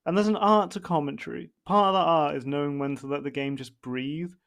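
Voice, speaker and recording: Male podcast host, higher-pitched, casual and exasperated, given to sweary comic rants. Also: steady tone, a little fast, a bit bright. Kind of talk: casual chat, comic ranting.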